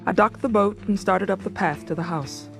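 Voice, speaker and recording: A woman's voice: mid-range voice, normal speed, gravelly, close to an everyday voice. An actress in a radio drama playing a conflicted, principled married woman of thirty-eight, intimate and emotionally charged. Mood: reflective narration. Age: 30s. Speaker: lead actress and narrator. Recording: 1980s radio drama, music behind.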